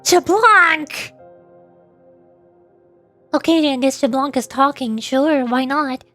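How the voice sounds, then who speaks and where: High-voiced woman streaming a game. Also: takes it slowly, sharp tone. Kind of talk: casual game stream commentary. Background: music.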